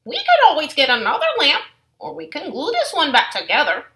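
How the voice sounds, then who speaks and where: A woman voicing a gentle, wise mother bear in a soft, sing-song motherly way. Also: high-pitched, huge pitch swings, fairly quick, pitched above the performer's own voice, full character voice. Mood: wistful.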